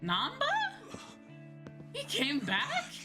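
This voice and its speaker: A woman streams a video game, casually reacting and thinking aloud. Her pitch moves around a lot, and game music plays clearly behind her voice. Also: wildly swooping pitch, drawn-out words. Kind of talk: casual live game commentary, reading on-screen names. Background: video game music and dialogue.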